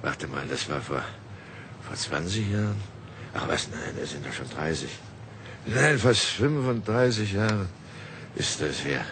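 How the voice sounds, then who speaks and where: An actor playing a gruff, ragged old Parisian tramp with a wistful side, in a halting, grumbling radio drama manner. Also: male voice, deep voice, animated. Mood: wistful, reminiscing. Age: older. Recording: clearly noisy background ambience.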